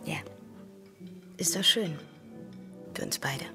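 A woman's voice: low-pitched, slow pace, over music. A woman acting in a radio drama as a cool, wry, sceptical wife with a dry, unimpressed manner.

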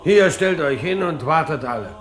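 An actor in a radio play as an officious, slow-witted gatekeeper who talks in halting, repetitive phrases. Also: male voice, fairly high pitch, animated, slight background noise, close to an everyday voice. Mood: stubborn, officious. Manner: halting, repetitive, literal-minded servant talk. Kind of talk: German radio play acting.

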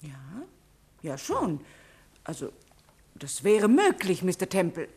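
An actress playing a worried middle-aged boarding school headmistress in a 1950s radio drama, who talks in a hesitant, apologetic way. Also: female voice, lowish voice, huge pitch swings, slow, a little mellow, husky, exaggerated voice.

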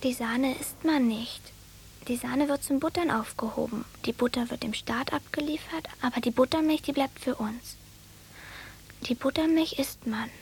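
High-voiced, dreamy little girl. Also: moderate pace, darkish tone, a little hiss or hum. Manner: simple, literal, echoing phrases. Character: frail, dreamy small village girl. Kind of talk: German radio drama acting.

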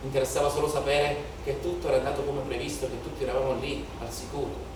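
A man's voice: medium pitch, little pitch movement, fast, lightly acted. A male stage actor playing an impassioned, haunted young protester in a declamatory theatrical monologue, with incantatory repetition. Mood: subdued, confiding. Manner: declamatory, incantatory repetition, swelling from hushed to shouted. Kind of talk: theatrical dramatic monologue in Italian political theatre. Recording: very echoey theatre, distant mic, noisy.

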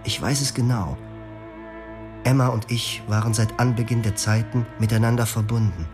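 A man's voice: medium pitch, normal speed, over music, natural voice. An actor playing a husband who narrates his own story in first person in a radio drama. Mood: brooding.

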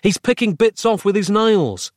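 Man playing a high-strung, exasperated hero. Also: very animated, a little fast, no echo, clean studio sound. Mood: despairing. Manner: melodramatic, over-explaining, urgent. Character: flayed, anguished male hero, wordy and exasperated.

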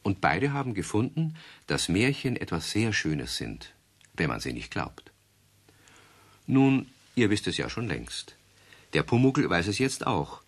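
A man narrating a children's radio play, gentle and confiding, addressing the young listeners directly. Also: on the low side, big pitch swings, measured pace, husky. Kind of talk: warm storybook narration for children.

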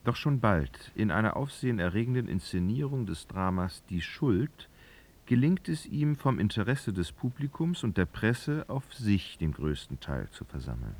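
A man's voice: on the low side, sing-song, moderate pace, darkish tone. A man narrating a literary radio drama, reading expressively and dramatically.

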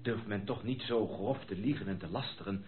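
An actor playing a polite, sceptical gentleman guest in a Dutch radio play, speaking formally. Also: on the low side, moderate pace, natural voice. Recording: tinny band-limited old radio play recording.

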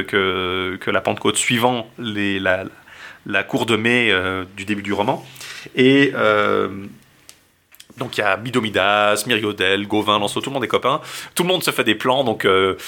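Male podcast co-host, bright tone, casual storytelling, lots of fillers and restarts. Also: mid-range voice. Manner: summarizes loosely with many fillers and restarts, laughing often. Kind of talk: casual chat retelling a medieval romance.

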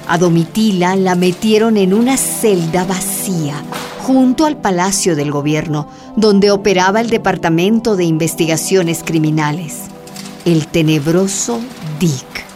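A woman's voice: lowish voice, measured pace. A woman delivering dramatic scripted narration for a radio drama. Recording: music bed behind the voice.

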